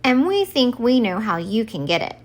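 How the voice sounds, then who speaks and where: A woman voicing an earnest, deliberate reindeer who gives advice in a children's storybook. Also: a little high, very animated. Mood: earnest, measured. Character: Donner, earnest advising reindeer of Santa's. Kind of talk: children's storybook read-aloud.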